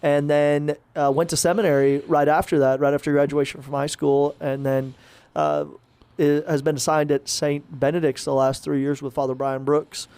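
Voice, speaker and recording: A man's voice: fairly high pitch, normal speed, darkish tone, smooth, no echo. A man, a parish priest chatting casually on a podcast, rambling with lots of fillers and restarts.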